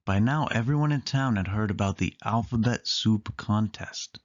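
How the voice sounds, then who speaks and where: A storyteller reading a children's book aloud in an animated way, lingering on listed words. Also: medium pitch, normal speed, dull audio.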